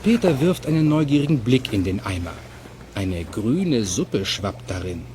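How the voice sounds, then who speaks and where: A man's voice: mid-range voice, big pitch swings, a little slow, a little mellow, a little hiss or hum. A man reading scripted narration for a children's audio play.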